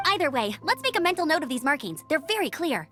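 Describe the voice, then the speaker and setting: A woman playing an earnest, upbeat young knight scout in a video game, brisk and determined in manner. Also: high voice, very animated, heavy background noise. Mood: focused, explaining.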